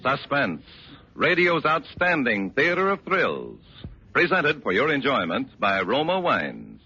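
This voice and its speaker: Bright, animated 1940s radio announcer man. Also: medium pitch, measured pace, slightly rough, soft background music. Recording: muffled old radio recording.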